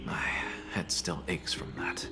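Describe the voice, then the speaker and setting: A man's voice: takes it slowly, music in the background, lightly acted. A man voicing a proud, weary, sardonic scholar in a video game, with a haughty, theatrical and sarcastic delivery.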